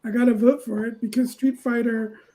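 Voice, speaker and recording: A woman giving her opinion casually as a panelist, in a deliberate, measured way. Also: on the high side, steady tone, mellow.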